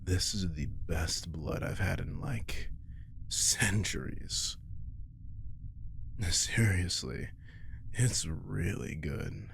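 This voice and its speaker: A man playing an arrogant, teasing, centuries-old vampire king in an intimate audio roleplay, casual and sardonic, with a low, gravelly voice. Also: very slow, pauses often, fairly bright tone, a little hiss or hum, heavily acted. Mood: casual, satisfied. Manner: casual, sardonic, swearing, coaxing his prey.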